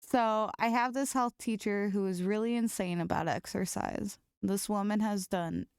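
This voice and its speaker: An American woman talks as a podcast co-host with a medium pitch. She tells stories casually and animatedly, full of slang and cursing. The sound is dry, with no room on it. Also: moderate pace, clear, resonant tone, no echo. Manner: animated, profane, slangy storytelling. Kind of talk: casual chat, reading funny stories aloud.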